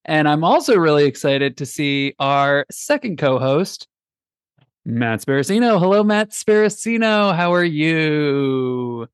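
A man's voice: fairly high pitch, lively intonation, a little slow, clean tone, no echo, studio quality. A man hosting a casual sports podcast, playful and jokey as he introduces his co-hosts. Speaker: podcast host.

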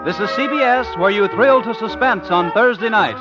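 A man's voice: high-pitched, normal speed, lo-fi sound. An American man reading scripted announcements as a 1950s network radio announcer. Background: music.

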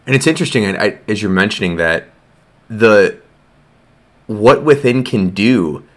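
A man's voice: fairly low pitch, expressive, unhurried, a bit bright. A man hosting a podcast, asking questions in a friendly, conversational way. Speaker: podcast host. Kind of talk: casual interview conversation.

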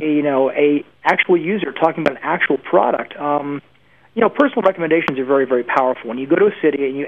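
Man, fairly high pitch, narrow telephone sound, conversational expert guest, opinionated, repeats for emphasis. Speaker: interview guest, internet marketing expert. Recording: narrow phone-like band, lo-fi internet radio.

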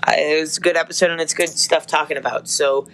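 A man co-hosting a casual faith podcast, slightly hoarse, rambling with many restarts and fillers. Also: on the high side, fairly even intonation, quick pace, a bit bright. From United States. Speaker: podcast co-host.

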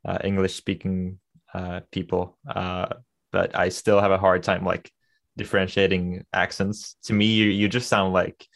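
A young man from Sweden with a light, Americanized Swedish accent, chatting casually as a podcast guest and pausing on many fillers. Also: sits low, flat delivery, vocal fry. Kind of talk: casual chat.

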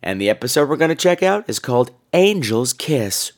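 A man hosting a podcast in a casual, playful, campy way, hoarse and gravelly with laryngitis. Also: mid-range voice, sing-song. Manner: campy, playful, theatrical drawn-out words. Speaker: horror podcast host.

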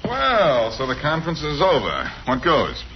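An actor playing a wry, cool-headed amateur detective in a 1940s radio drama, on a muffled, noisy old recording. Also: male voice, fairly high pitch, wildly swooping pitch, a little slow. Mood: wry, confident. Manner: wry, sardonic.

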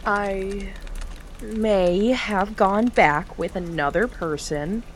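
A woman's voice: medium pitch, extremely slow, slight background noise. An actress playing an earnest young woman in her first week at a charity job. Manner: pleading, earnest. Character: earnest young female charity worker, newly promoted.

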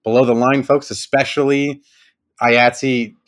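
Male podcast co-host, average pitch, joking, earnest rant. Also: flat delivery, brisk. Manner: wisecracking, swears freely, earnest when ranting. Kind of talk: casual banter podcast. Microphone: home podcast microphone.

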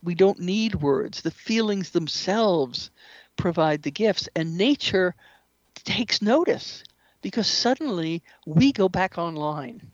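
A woman speaking as an interview guest, explaining spiritual ideas in an earnest, measured way. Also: lowish voice, expressive, no echo, lo-fi sound. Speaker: interview guest, shamanic practitioner and author.

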